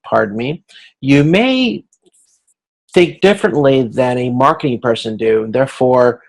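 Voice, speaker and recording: A man speaking as an instructor in an informal recorded lecture, explaining in a halting, conversational way with a gravelly voice. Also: medium pitch, animated, poor audio. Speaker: instructor giving class feedback.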